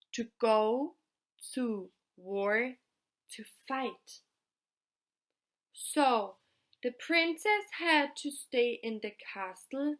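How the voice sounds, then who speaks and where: A woman with a fairly high voice tells a fairytale very slowly. She speaks deliberately, in short, halting groups of words with pauses between them. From Austria. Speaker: storyteller. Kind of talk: slow fairytale storytelling.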